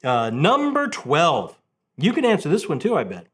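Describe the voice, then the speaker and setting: Animated man chatting casually about favorites. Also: a little high, normal speed. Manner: animated storyteller, repeats phrases for emphasis. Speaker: book review video host. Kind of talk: casual conversational book chat.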